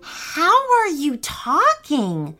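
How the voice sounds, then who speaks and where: Woman as an excitable little boy, high-pitched. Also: drawn-out words, soft background music, full character voice. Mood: amazed. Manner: wide-eyed, exclaiming. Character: excitable three-year-old boy, easily alarmed.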